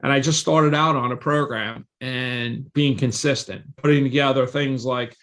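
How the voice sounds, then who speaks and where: A man's voice: mid-range voice, steady tone, normal speed, fairly bright tone. A man in his forties, a guest on a podcast, telling his career story in a confident, salesy way with emphatic repetition. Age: 40s. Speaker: real estate investor and guest.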